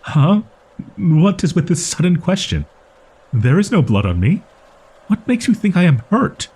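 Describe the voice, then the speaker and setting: A man's voice: high-pitched, big pitch swings, measured pace, mellow, breathy edge, heavily acted. A man voicing a proud, easily flustered young wolf-boy in a roleplay audio drama, with stilted archaic diction and defensive stammering. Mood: indignant, defensive. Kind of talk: roleplay audio drama monologue addressed to listener.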